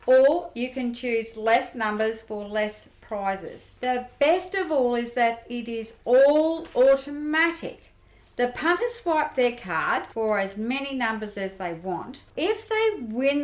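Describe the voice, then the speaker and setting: Young female hustler for radio drama, acted by a woman: highish, slightly slow-burn storytelling, candid, resilient, echoey room. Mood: businesslike, keen. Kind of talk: radio play acting. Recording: roomy, echoey amateur recording.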